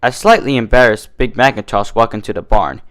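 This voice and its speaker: A man's voice: medium pitch, fairly quick. A man reading a story aloud as scripted narration. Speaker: fan fiction reader.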